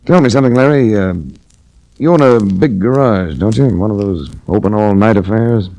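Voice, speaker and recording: A man's voice: on the low side, normal speed, mellow, dry recording, close to an everyday voice, low-quality recording. A man playing a wry hard-boiled radio detective. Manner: dry, laconic, sardonic. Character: wry hard-boiled male insurance investigator. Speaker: detective narrator. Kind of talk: 1940s radio drama acting. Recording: restored old radio broadcast.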